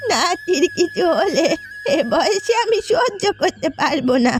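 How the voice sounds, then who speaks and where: A frail old grandmother voiced by a woman speaks in a high, plaintive, tearful voice. She is a grieving village elder in a cartoon melodrama, her pitch swaying with fear, over music. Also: animated, unhurried. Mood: tearful, distraught.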